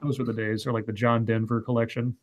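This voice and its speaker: Late 30s guy reading chat on a stream, dry deadpan, plain mid voice. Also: medium pitch, fast. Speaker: livestream co-host reading viewer chat. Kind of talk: casual online chat show.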